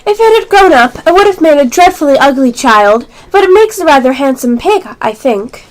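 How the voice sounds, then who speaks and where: A woman's voice: high-pitched, big pitch swings, moderate pace, poor audio. A female amateur actor playing a curious, polite but easily exasperated little girl in a radio play.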